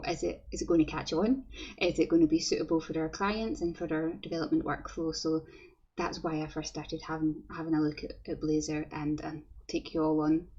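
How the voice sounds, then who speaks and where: A young Glasgow woman presents a developer talk from home in a friendly, rambling way, doubling words as she thinks. Her voice is low and slightly husky. The webcam recording sounds a bit dull and muffled. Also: dark tone. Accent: Scottish, Glasgow. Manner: friendly, chatty, repeats words as she goes. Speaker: conference speaker, developer. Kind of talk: online conference talk with slides.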